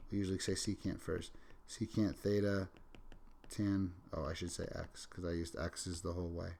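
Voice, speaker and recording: A man with a somewhat low voice teaches calculus while he is sick and sniffling into the microphone. He narrates each step as he writes. He stops now and then to correct himself. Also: darkish tone. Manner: talks through steps while writing, self-correcting asides. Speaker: math teacher. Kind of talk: recorded calculus lecture.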